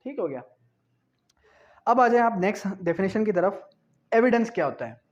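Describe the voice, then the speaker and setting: A man's voice: high-pitched, sing-song. A man with a Pakistani accent lecturing for an exam, didactically reading out definitions, repeating key phrases and asking rhetorical questions as he explains. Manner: switches between Urdu and slowly read English phrases. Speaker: law lecturer. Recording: dull lo-fi lecture audio.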